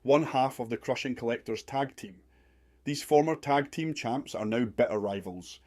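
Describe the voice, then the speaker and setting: A man's voice: mid-range voice, normal speed, darkish tone, no echo, clean studio sound, natural voice. A man playing a sardonic, slangy wrestling commentator in a scripted radio drama. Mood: wry. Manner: wry, blunt, slangy.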